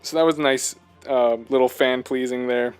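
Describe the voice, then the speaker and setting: A man's voice: mid-range voice, fairly even intonation, normal speed, smooth, no room sound. Enthusiastic man co-hosting over music. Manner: enthusiastic, emphatic fan talk. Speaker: podcast co-host. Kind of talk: casual video game discussion.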